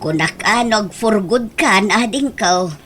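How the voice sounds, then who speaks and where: A woman's voice: medium pitch, slow, over music, lightly acted. A woman playing a nosy older village auntie in an Ilocano comedy radio drama. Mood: friendly.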